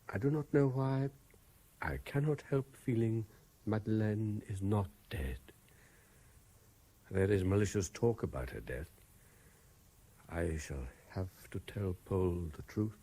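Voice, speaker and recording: An actor playing a troubled, guilt-ridden investigator in a 1970s radio drama, with a brooding, hesitant manner. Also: male voice, lowish voice, lively intonation, measured pace, pauses often, mellow. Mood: brooding, grieving. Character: troubled, haunted male French private investigator, guilt-ridden and weary. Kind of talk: 1970s radio drama acting, with narrated diary passages.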